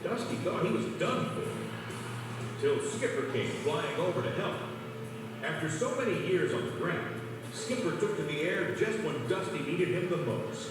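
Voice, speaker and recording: A man's voice: a little high, expressive, unhurried. A man reading a children's read-along story as a scripted narrator. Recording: echoey hall, distant, music behind. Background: music.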